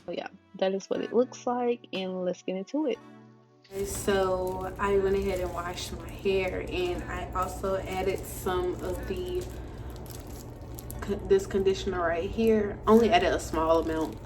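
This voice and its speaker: Slightly raspy young woman, low-key vlogger. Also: steady tone, a little slow, over music. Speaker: beauty vlogger. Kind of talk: casual hair-care tutorial chat.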